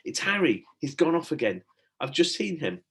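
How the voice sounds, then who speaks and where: A man's voice: fairly high pitch, sing-song, moderate pace, gravelly, fairly bright tone, pitched above the performer's own voice, full character voice. A man voicing a bossy, breathless young sister with a firm, urgent manner in a storybook. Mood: urgent, snappy.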